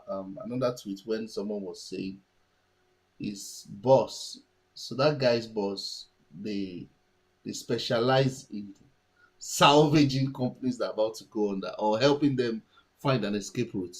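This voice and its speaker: A man's voice: medium pitch, unhurried, slightly rough, poor audio. A man whose first language is Yoruba, giving casual market commentary and thinking aloud with many restarts and fillers.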